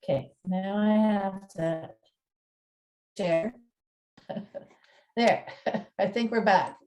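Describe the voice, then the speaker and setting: Slow-paced woman presenting and storytelling. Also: medium pitch, dark tone. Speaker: narrator and presenter. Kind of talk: storytelling narration and informal presenting.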